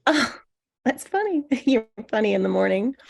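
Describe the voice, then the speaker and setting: Woman playing an eager, insecure thirties woman, swooping pitch. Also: big pitch swings, moderate pace, vocal fry, dry recording, studio quality, full character voice. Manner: hesitant, overeager. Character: eager, insecure woman in her mid-thirties, people-pleasing lover. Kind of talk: screenplay table read.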